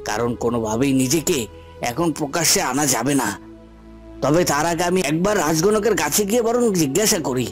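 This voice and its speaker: A man playing a scheming, hot-tempered palace villain in a cartoon fairy tale, speaking in deliberate phrases with emphatic repetition. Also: on the high side, close to an everyday voice. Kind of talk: Bengali cartoon drama.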